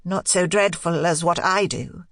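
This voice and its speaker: A woman's voice: on the low side, fairly even intonation, measured pace, fairly bright tone, no echo, clean studio sound. A woman voicing an intense, determined young governess in an audiobook, speaking in emphatic, broken phrases with urgent insistence. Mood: grave. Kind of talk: audiobook character dialogue.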